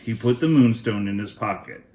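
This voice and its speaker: A man hosting a children's read-aloud, narrating a storybook in a deliberate, expressive way. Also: fairly low pitch, roomy sound, poor audio.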